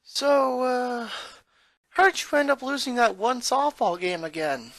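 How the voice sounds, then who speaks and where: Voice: mid-range voice, a little slow, a bit bright, no room sound, voice pushed up, lightly acted. A story reader voicing an anxious, scheming boy who speaks hesitantly and carefully. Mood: cautious. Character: anxious, scheming middle-child boy, tentative and sheepish.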